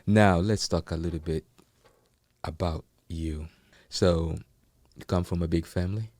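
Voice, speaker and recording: A man's voice: low voice, steady tone, a little slow, a little mellow, no room sound. A man hosting an interview show, encouraging his guest with frequent affirmations. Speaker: show host.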